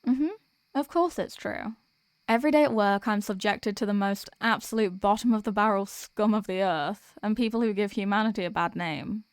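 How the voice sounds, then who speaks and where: A woman's voice: mid-range voice, normal speed, clear, resonant tone, dry recording, lightly acted. Woman acting a sweet, weary demoness girlfriend, close and dry. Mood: affectionate, gushing. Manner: chatty, affectionate, intimate address to a partner. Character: sweet, weary demoness girlfriend venting about office work. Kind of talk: ASMR girlfriend roleplay.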